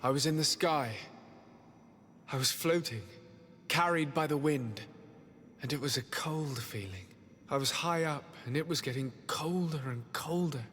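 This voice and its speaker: An actor voicing a courteous, gentle young male diplomat in a video game, speaking in a formal, measured way. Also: fairly high pitch, slightly rough, in a large hall. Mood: dreamy, grave.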